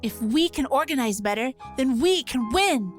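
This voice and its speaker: A woman playing a stubborn yet vulnerable young mech pilot in an audio drama, speaking haltingly. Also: high-pitched, lively intonation, faint music behind. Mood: thoughtful. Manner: halting, unsure.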